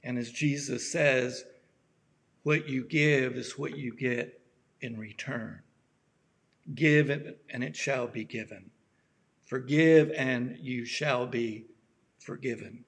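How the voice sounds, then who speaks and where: A man with a raspy voice, a pastor giving a gentle, halting sermon with many pauses, his voice worn from allergies. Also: medium pitch. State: allergies acting up. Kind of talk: slow reflective sermon.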